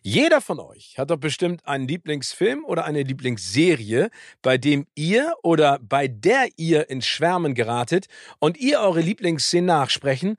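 Guy reading a scripted podcast promo, very animated pitch, dry close sound. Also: normal speed, dry recording. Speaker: podcast host.

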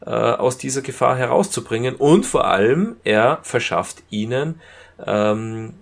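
A man casually reviewing as a podcast co-host, retelling scenes in a halting way. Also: medium pitch, gravelly. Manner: halting retelling with acted-out quotes.

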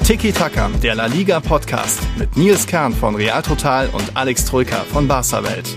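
Creaky-voiced male announcer over loud music. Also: medium pitch, animated, moderate pace. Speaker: podcast intro announcer. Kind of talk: scripted intro announcement. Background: loud guitar music.

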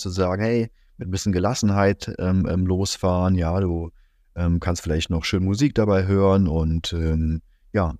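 A man hosting a reflective solo podcast, speaking calmly with many fillers, his voice slightly strained from being a little under the weather. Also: low-pitched, dry recording, studio quality. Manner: calm, musing, many fillers and "ja". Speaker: podcast host.

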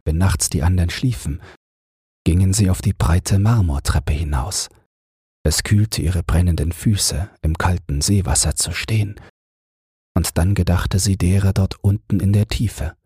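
A man narrating a bedtime fairy tale audiobook in a calm, soothing, measured manner. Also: low voice, breathy edge, no echo, clean studio sound.

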